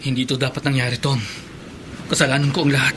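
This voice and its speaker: A man's voice: medium pitch, level tone, quick pace, background noise, lightly acted. A Filipino man acting in a horror radio drama as a guilt-ridden, suspicious fisherman. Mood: guilty, anguished.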